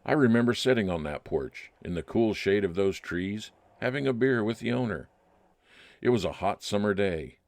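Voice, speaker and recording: Man playing a deep-voiced wry radio private detective. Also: lively intonation, moderate pace, a little mellow, no echo. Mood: reflective. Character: wry, nostalgic male private detective narrating his case. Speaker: narrator and lead actor. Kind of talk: old time radio detective narration.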